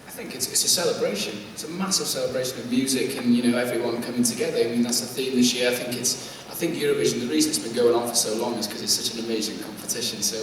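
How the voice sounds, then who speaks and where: A young man with a Welsh accent, a singer answering press conference questions in a quick, upbeat and earnest way. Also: medium pitch, fairly even intonation, slight background noise. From Wales. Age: young adult. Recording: echoey hall, far from the mic.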